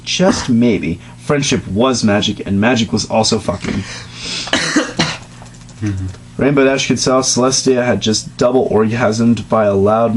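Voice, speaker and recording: A man reads a story aloud in a mid-pitched, matter-of-fact voice. His delivery is deadpan and steady. A faint hum sits under the recording. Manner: deadpan reading broken by fits of high laughter. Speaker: dramatic reader. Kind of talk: comedic dramatic reading of fan fiction.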